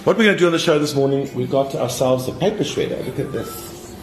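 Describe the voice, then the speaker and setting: A man's voice: mid-range voice, animated, fast talker. A man with a South African Afrikaans accent casually previewing his radio show on a phone video. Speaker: radio morning show host. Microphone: phone at arm's length. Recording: echoey phone video, faint noise.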